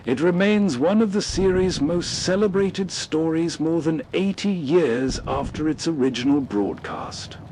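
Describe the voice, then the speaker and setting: A man's voice: on the high side, animated, a little slow, hardly any pauses. A man hosting with scripted, atmospheric narration. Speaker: host. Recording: faint background noise.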